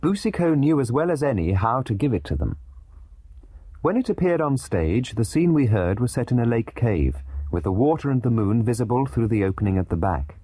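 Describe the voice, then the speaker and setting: A man reading a scripted documentary narration in a literate, measured way with dramatic emphasis. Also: mid-range voice, sing-song, dark tone, husky, dry recording.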